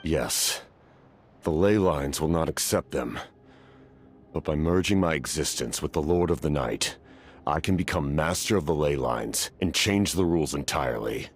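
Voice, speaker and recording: An actor playing a solemn, resolute immortal knight captain in a video game, speaking in a grave, formal, declamatory way. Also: male voice, very deep voice, lively intonation, moderate pace, a little hiss or hum. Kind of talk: dramatic video game voice acting.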